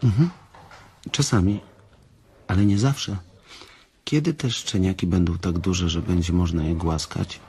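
A man playing a gentle, slow-witted, childlike farm hand in a Polish radio drama, with a naive, simple manner. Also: deep voice, slightly rough, exaggerated voice. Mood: innocent, eager.

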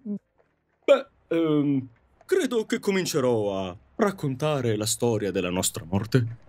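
A man's voice: medium pitch, sing-song, takes it slowly, darkish tone. A man acting a wistful, romantic Victorian ghost who tells stories theatrically in an audio drama. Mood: explanatory. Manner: theatrical storytelling.

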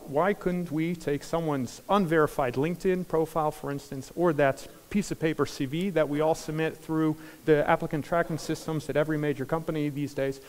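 A man in his forties with an American accent gives an energetic conference talk on stage, with frequent fillers and restarts. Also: fairly high pitch. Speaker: conference speaker. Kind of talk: keynote talk. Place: large conference hall stage. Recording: hall reverb from a large room.

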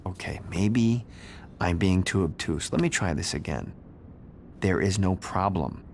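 A man's voice: low voice, animated, a little slow, gravelly, darkish tone, full character voice. A smug, ambitious corporate executive for a video game. He speaks articulately, with sardonic self-assurance. The voice rings with long reverb over some background noise. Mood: cool, sardonic. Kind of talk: video game cutscene acting. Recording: long reverb, noisy ambience behind.

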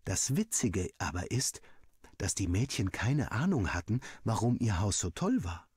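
A man reading a first-person story as a narrator, acting out the dialogue in an animated way. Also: lowish voice, unhurried, husky, no echo, studio quality. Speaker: audiobook reader.

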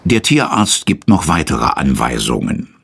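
A man's voice: a bass voice, sing-song, measured pace, vocal fry, a bit bright, slightly rough. A man narrating a children's audio story as its presenter, in scripted storytelling style.

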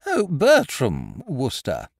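A man voicing a brisk, decisive middle-aged schoolmistress. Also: medium pitch, wildly swooping pitch, no echo, studio quality, voice pushed up, full character voice. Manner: crisp, decided delivery.

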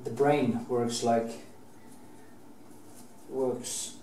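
A man's voice: mid-range voice, level tone, drawn-out words, mellow. A middle-aged man from Norway with a Norwegian accent, talking while he paints, rambling and restarting his sentences, swearing casually now and then. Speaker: painting teacher. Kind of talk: painting demonstration. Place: painting studio, indoors. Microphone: camera mic, at a distance. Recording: roomy, distant, faint background hiss.

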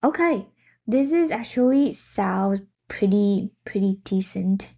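Young woman, tech tutorial host; slow, easygoing delivery, talking to a webcam indoors. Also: mid-range voice, expressive, creaky, warm tone, breathy edge. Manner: thinking aloud, reacting to results. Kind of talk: casual screen-share tutorial. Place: indoor room.

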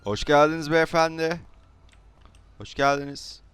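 A man's voice: a little high, measured pace, a bit bright, clean tone. A man bantering casually while trolling in an online roleplay game, switching between mock politeness and slang. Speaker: gaming YouTuber.

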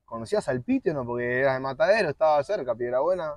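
Fast-talking porteño host in his 30s, friendly quick questions, dry sound, sitting at a park table. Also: medium pitch, expressive, dry recording. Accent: Buenos Aires Spanish. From Argentina. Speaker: interviewer, channel host. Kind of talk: casual interview.

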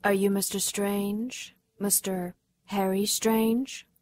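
An actress playing a mysterious, self-assured seductive client in a noir radio drama, speaking in a formal, measured, commanding way with a husky voice. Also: female voice, mid-range voice, little pitch movement, heavy background noise, natural voice. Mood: poised.

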